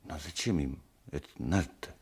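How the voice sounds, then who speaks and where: An actor playing a simple, meek old peasant husband in a radio play, hesitant and homely. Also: male voice, extremely low pitch, moderate pace, slightly rough, full character voice. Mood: puzzled, tender. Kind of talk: Soviet radio play acting. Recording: 1980s radio drama recording.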